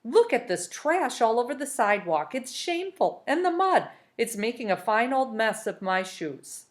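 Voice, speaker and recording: A woman's voice: fairly high pitch, sing-song, moderate pace. A woman voicing a chatty, grinning man who floats about, a slick and breezy persuader with brash, folksy patter, in a storybook read aloud. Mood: chatty, grumbling.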